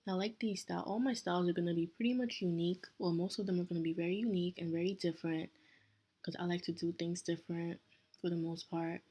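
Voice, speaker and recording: Relaxed young Nigerian woman, low and level. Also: quick pace. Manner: relaxed, self-correcting, chatty asides. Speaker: hair tutorial vlogger. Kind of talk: casual step-by-step tutorial.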